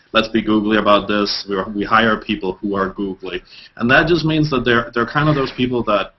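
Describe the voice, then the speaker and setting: A man's voice: sits low, muffled recording, slight background noise. A man in his thirties from Denmark, a guest in a video call interview, talking with many fillers and restarts.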